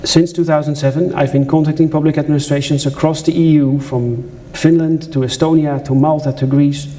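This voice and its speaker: A man's voice: on the high side, fairly even intonation, a little fast, mellow. A man with a Dutch accent giving a conversational conference presentation, with asides to the audience and frequent restarts. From Netherlands. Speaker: conference speaker. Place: lecture room at a conference.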